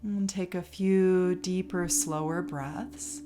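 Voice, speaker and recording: A woman leading a guided relaxation as a yoga teacher, calm and soothing, leaving long pauses between phrases. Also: on the low side. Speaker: yoga nidra instructor. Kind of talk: guided meditation, relaxation instruction. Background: music behind the voice.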